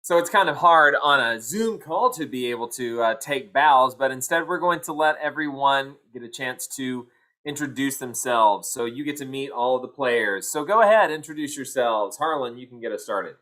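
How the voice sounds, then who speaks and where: A man's voice: mid-range voice, normal speed, pushed and bright. An American man announcing and congratulating the cast as presenter of a school play, speaking on a video call. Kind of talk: upbeat announcing to cast and audience.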